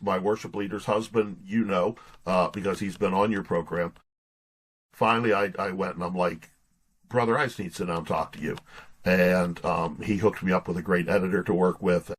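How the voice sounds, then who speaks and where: A man's voice: low-pitched, fairly bright tone, husky. A man in his sixties telling stories casually as an interview guest, in a folksy, earnest way with stop-and-start phrasing. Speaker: podcast guest, pastor and author.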